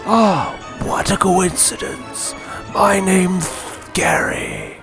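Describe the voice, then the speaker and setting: A performer of unclear sex voicing a friendly, sly little talking snake in a hushed whisper for a comic audio story. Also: mid-range voice, huge pitch swings, extremely slow, gravelly, over music, voice pushed down, full character voice. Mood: sly, casual.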